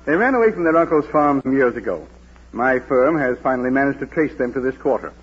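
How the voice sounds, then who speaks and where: A man's voice: medium pitch, lively intonation, fast talker, slightly rough, dull audio, soft background music. An actor playing a reserved, moralistic man of business with formal manners in an old radio drama. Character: formal, reserved, moralistic gentleman estate agent. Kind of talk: Golden Age radio drama acting.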